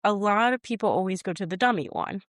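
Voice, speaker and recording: A woman's voice: mid-range voice, big pitch swings, quick pace, dry recording, studio quality. A woman co-hosting a podcast, chatting casually with a rambling, hesitant way of talking and frequent laughs. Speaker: podcast co-host. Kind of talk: casual chat.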